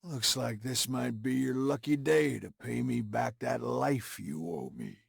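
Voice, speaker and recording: An actor playing a roguish, cynical army quartermaster in a game cutscene, folksy and brash, with an American frontier drawl and a gravelly rasp. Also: male voice, medium pitch, unhurried, dry recording, clean studio sound, full character voice. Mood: sly, chummy. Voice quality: gravelly, raspy. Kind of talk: video game cutscene acting.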